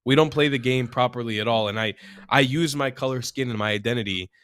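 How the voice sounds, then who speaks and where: A man's voice: medium pitch, fast talker, a bit bright, smooth, no room sound. Passionate male journalist arguing his case. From Israel. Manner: passionate, argumentative, with rhetorical questions. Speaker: journalist guest. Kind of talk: casual interview chat.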